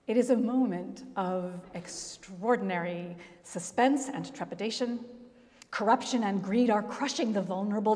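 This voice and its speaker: A middle-aged woman pastor preaching a sermon at a pulpit, telling the story expressively with dramatic pauses. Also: a little high, darkish tone. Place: large church, at a pulpit. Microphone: gooseneck pulpit mic. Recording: long church reverb.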